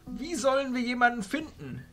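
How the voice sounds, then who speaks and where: A man playing an old, courteous illusionist arch-chancellor with a ceremonious, deliberate manner in a fantasy audio drama. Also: very high-pitched, big pitch swings, a bit bright, soft background music, exaggerated voice. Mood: solemn, explaining.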